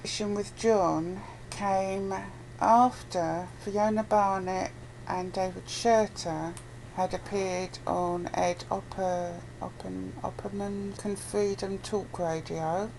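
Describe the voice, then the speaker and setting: A woman's voice: medium pitch, steady tone, slow pace, a little mellow. A British woman chatting casually and bluntly as an interviewee, with some swearing. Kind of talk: casual conversation. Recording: noisy amateur recording with background noise.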